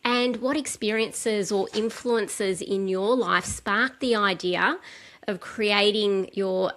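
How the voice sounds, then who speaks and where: A woman's voice: medium pitch, a bit bright. A woman hosting a podcast, reading a polished introduction and then asking questions with enthusiasm. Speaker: podcast host.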